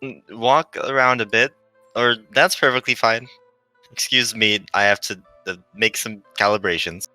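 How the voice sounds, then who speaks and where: Man plays eager, literal android woman, ship navigator in a visual novel. Very bright, crisp-edged tone, lowish pitch, music behind. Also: steady tone, unhurried, dry recording, poor audio. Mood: helpful, chipper. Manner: formal, literal, hesitant. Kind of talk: visual novel read aloud. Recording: dry close mic, background music.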